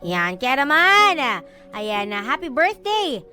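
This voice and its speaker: A woman radio host with a Filipino accent and a fairly high voice speaks over a clear music bed. She is sassy and teasing, mixing English into her lively banter and advice to listeners. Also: takes it slowly.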